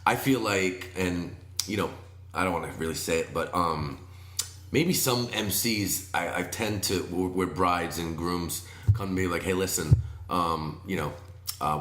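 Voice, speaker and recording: A man with a naturally deep voice, a wedding DJ and MC guest, talking in a laid-back, confident way. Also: a bit bright. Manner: laid-back, confident, says "you know" often. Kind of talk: casual chat. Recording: roomy echo.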